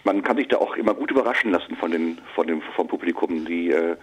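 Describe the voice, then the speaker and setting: A comedian being interviewed, answering casually with many fillers and restarts. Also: male voice, low-pitched, quick pace, slight background noise. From northern Germany. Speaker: comedian and musician. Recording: radio broadcast.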